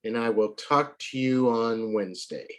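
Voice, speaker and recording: A man tutoring a child in English, patient and a little hoarse from a cold, often clearing his throat. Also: medium pitch, normal speed, a bit bright. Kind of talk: online one-to-one lesson.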